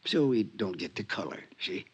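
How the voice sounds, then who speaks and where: A man's voice: on the low side, expressive, normal speed, highs cut off. An actor playing an ailing, short-winded old male convict, eager and pleading, talking in conspiratorial street slang in a 1950s radio drama. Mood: sly, eager. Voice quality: raspy, breathy, gasping. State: weak, coughing, short of breath.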